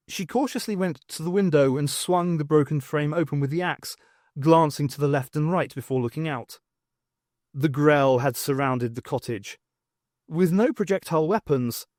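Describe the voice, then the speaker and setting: A man reading fiction as an audiobook narrator, in an expressive scripted storytelling style. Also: a little high, dry recording, clean studio sound.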